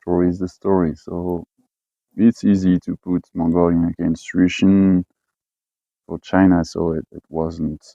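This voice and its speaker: A man from French-speaking Switzerland with a Swiss French accent, talking casually in halting English with many fillers and restarts. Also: low-pitched, flat delivery, gravelly, warm tone, no room sound, muffled recording. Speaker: expatriate herder. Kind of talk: casual personal talk.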